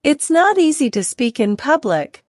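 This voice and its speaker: A woman reading a scripted self-introduction slowly and clearly for learners to repeat. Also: high voice, sing-song, a little mellow, no echo, studio quality. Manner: clear, deliberate, evenly paced delivery. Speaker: English teacher reading a model speech. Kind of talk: slow scripted reading for repetition practice.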